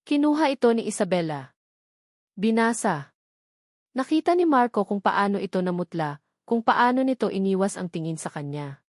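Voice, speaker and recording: A woman's voice: medium pitch, sing-song, moderate pace, pauses often, darkish tone, no echo, clean studio sound. A woman reading a scripted story in a dramatic narrating style.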